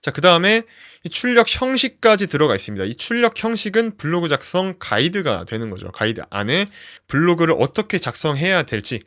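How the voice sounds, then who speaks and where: A man with a slightly high voice presents a tutorial. His intonation rises and falls in a very lively way as he walks through the material step by step, keeping the explanations simple. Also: a little fast. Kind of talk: instructional screen walkthrough, explanatory.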